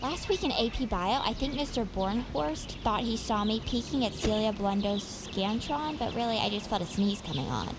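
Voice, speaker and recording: Chatty, anxious teenage girl, high-pitched. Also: animated, normal speed, few pauses, background noise. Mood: anxious rambling. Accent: American. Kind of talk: scripted audio drama.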